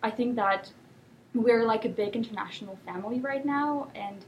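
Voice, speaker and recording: A young woman from Russia, whose first language is Russian, answering interview questions thoughtfully with many pauses and fillers. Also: fairly high pitch, highs cut off, a little hiss or hum. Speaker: guest.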